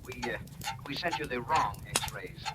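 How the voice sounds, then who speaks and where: An actor in 1940s radio drama playing a flustered, apologetic laboratory clerk who stammers over the phone. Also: male voice, mid-range voice, animated, unhurried, natural voice. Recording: noisy old radio broadcast.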